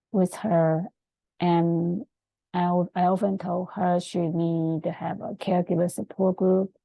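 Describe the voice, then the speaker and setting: Gravelly, low-voiced woman, non-native speaker. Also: dark tone, no echo, low-quality recording. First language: Chinese. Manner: halting, hesitant, frequent pauses mid-sentence. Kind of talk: informal presentation with screen share.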